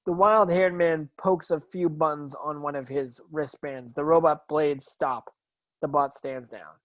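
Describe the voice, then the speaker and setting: A man's voice: fairly high pitch, steady tone, a little slow, dry recording, muffled recording. A man narrating screenplay stage directions in a plain reading, occasionally stumbling over words.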